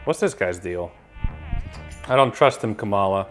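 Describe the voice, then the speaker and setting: A man's voice: lowish voice, lively intonation, normal speed, faint music behind. A man hosting a reaction video, making wry, jokey comments while watching a show. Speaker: reaction channel host.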